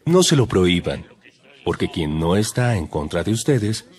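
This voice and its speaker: A man's voice: low voice, big pitch swings, moderate pace, a little mellow. A man playing a solemn, authoritative religious teacher in an audio Bible drama. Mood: solemn, instructive. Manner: solemn, instructive. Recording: music under the voice.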